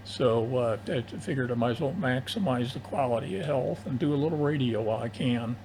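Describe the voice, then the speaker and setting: An older man chatting casually over amateur radio in long turns, with a halting, hesitant delivery. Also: medium pitch, a little mellow. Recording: noisy amateur AM radio audio.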